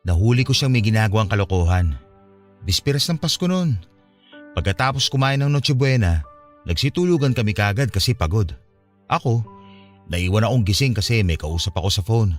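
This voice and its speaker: A man's voice: fairly low pitch, animated, fairly quick, long pauses, natural voice. A man acting an earnest young man who confides a painful family past in a conversational radio drama style. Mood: confiding, recounting. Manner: conversational, confessional. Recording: music behind the voice.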